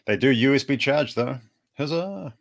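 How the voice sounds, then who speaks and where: A man's voice: medium pitch, animated, normal speed, gravelly, dry recording, studio quality. A man, a co-host adding short, wry, informal remarks in casual banter. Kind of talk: casual banter and side comments.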